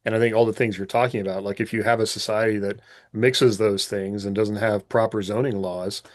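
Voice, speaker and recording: A man's voice: lowish voice, fairly even intonation, a little fast, dry recording, studio quality. A man co-hosting a podcast in casual chat, musing aloud and putting questions to the others. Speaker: podcast co-host.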